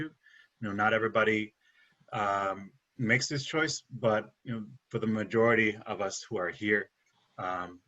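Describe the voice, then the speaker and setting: A man, a capoeira teacher on a video-call panel, speaking in a reflective, measured way. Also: fairly low pitch, bright, forceful tone, muffled recording. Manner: reflective, measured, many fillers. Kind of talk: casual panel discussion.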